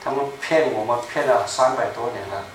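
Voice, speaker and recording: A man in his seventies preaching a sermon in a small church, speaking slowly and emphatically and repeating his key phrases. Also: mid-range voice. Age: 70s. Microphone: room mic from a far camera. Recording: echoey room, distant mic, faint noise.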